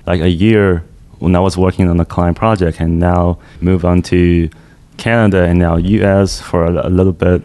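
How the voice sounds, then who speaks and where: A man's voice: low voice, little pitch movement, normal speed, dark tone. A man from China, a guest developer, chatting loosely in an interview with frequent fillers. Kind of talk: casual interview chat. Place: conference venue.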